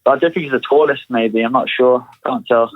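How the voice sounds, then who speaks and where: A relaxed fighter chatting casually, full of ums and easy laughs. His voice sits at a middling pitch, and the audio sounds dull and muffled. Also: brisk, no room sound. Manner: laid-back, laughs often, many fillers. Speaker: pro MMA fighter. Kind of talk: casual chat.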